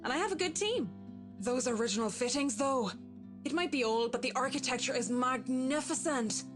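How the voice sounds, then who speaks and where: An actress playing a polite, enthusiastic restoration team leader in a visual novel game. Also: female voice, sits high, animated, moderate pace. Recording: guitar music behind the voice.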